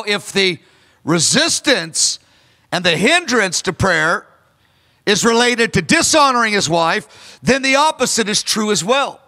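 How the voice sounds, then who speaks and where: A man in his fifties preaches with a very high, bright and forceful voice, earnest and emphatic. His words ring out through a large hall with a long reverb trailing behind them. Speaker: pastor. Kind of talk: sermon. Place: large church stage. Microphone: handheld microphone.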